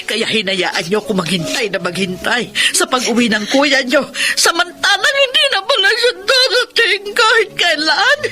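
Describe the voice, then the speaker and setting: A woman's voice: high-pitched, expressive, moderate pace, music in the background. A woman acting as a reproachful, grieving middle-aged mother with a Filipino accent in a radio drama.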